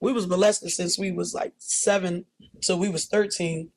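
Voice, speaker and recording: A woman in her thirties from West Philadelphia talks in a raspy voice with a blunt, hardened edge. She speaks candidly as an interview guest, seated on a sofa in a small indoor studio. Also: fairly low pitch, steady tone, normal speed, a little mellow, a little hiss or hum. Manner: blunt, tough, street-worn storytelling.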